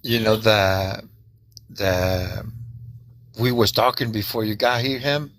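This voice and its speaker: Panelist: man, sharp forward tone, unhurried explainer. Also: lowish voice, fairly even intonation, slight background noise. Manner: halting, earnest, explains with examples. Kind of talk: casual panel discussion.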